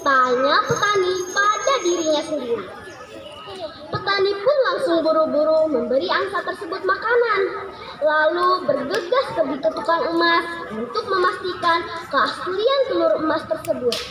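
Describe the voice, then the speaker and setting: A woman with a very high, lively voice narrates a storybook tale aloud. Her pitch moves up and down a good deal as she reads. She is recorded in an echoey room with noise around her. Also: moderate pace. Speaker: storyteller reading a fable aloud. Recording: echoey, noisy room with background crowd.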